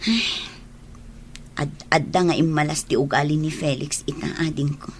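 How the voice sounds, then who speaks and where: A woman acting a long-suffering, gentle wife and older sister in a radio drama. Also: sits low, normal speed, a little hiss or hum, close to an everyday voice. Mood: troubled, confiding.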